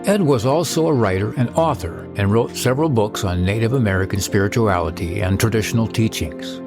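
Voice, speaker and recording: A man hosting a podcast, giving a measured, solemn scripted narration. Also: fairly low pitch. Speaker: podcast host. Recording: narration over music.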